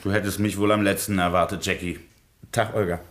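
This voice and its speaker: Radio drama gangster, lowish voice, smug confidence, teasing, casual, familiar. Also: male voice, flat delivery, a little fast, fairly bright tone. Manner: familiar, casually self-assured. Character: confident, teasing male gangster boss and bootlegger. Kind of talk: German radio play acting.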